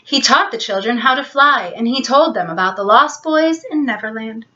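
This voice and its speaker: A woman storyteller giving an expressive, scripted narration of a children's bedtime story. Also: on the high side, moderate pace, highs cut off.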